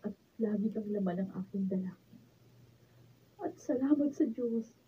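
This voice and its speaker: A woman's voice: medium pitch, moderate pace, natural voice. An actress playing a devoted, emotional young woman in love in a Filipino radio drama. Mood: proud, loving. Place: radio drama recording studio, behind-the-scenes video. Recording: phone-like lo-fi sound, faint noise.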